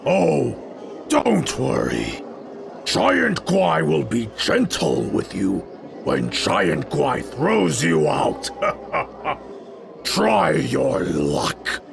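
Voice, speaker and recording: Slow gravelly giant street fighter. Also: male voice, mid-range voice, wildly swooping pitch, background noise, full character voice. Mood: mocking, amused. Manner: taunting, speaks of himself in third person. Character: boastful, bullying giant male street fighter, easily enraged. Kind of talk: audio drama acting.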